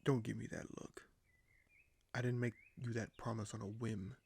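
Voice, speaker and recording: Man playing a measured, creaky-voiced scheming royal. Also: fairly low pitch, flat delivery, darkish tone. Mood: earnest, probing. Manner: measured, hesitant, formal speech with deliberate pauses. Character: scheming young king, courteous and measured, bargaining with a dragon. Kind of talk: audio roleplay acting, addressed to the listener.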